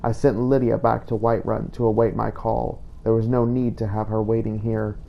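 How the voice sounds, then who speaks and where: Deep, gravelly man narrating a journal. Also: little pitch movement, normal speed, dull audio. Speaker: host and player of a game playthrough. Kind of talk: scripted first-person journal narration.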